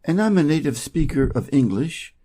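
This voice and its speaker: American man with a subdued, mellow tone. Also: on the high side, expressive, moderate pace. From Wisconsin, USA. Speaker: English reading channel host. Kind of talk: scripted channel introduction.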